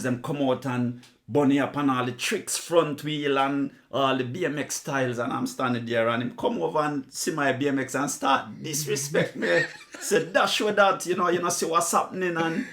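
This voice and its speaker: A man's voice: a little high, normal speed, fairly bright tone. A middle-aged man with a Jamaican accent, hosting a casual chat and telling stories. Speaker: host.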